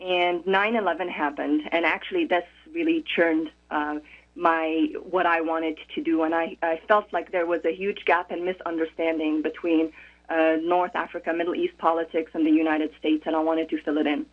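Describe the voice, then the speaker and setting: A woman from Morocco, a conflict resolution expert, speaking as a radio interview guest in a thoughtful, measured way with frequent pauses. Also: sits low, level tone. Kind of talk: radio interview over the phone. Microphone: telephone. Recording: tinny phone line.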